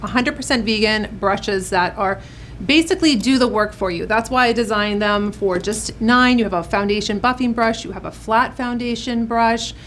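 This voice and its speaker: A woman with a fairly high voice presents a beauty tutorial as a makeup artist. She addresses her viewers in an upbeat, encouraging manner. There is noticeable background noise in the recording. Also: normal speed, fairly bright tone. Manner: upbeat, encouraging pep-talk delivery.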